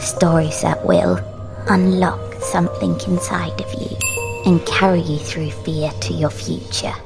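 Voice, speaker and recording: A woman playing a lonely, ghostly Victorian little girl in an audio drama, speaking in a deliberate, eerie storytelling manner. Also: fairly low pitch, steady tone. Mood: mysterious, inviting. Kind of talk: scripted theatrical audio drama. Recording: music behind the voice. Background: music.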